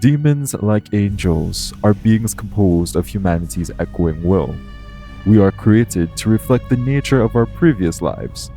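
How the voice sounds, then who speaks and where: A man playing a calm, protective guardian angel in an audio roleplay, speaking in a formal, measured, earnest way. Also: fairly low pitch, over music. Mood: solemn, explaining. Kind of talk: audio roleplay acting, addressed to the listener.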